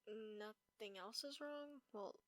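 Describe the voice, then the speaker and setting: A woman playing a caring vampire roommate in a comfort audio roleplay, speaking softly, gently and reassuringly. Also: mid-range voice, takes it slowly, no room sound. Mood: soothing. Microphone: close, dry microphone.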